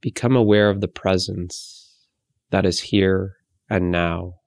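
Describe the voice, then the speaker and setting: A man guides a meditation in a deep, very even voice, recorded close and dry. He speaks gently and without hurry, letting long pauses settle between short phrases of soothing instruction. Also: creaky, no room sound.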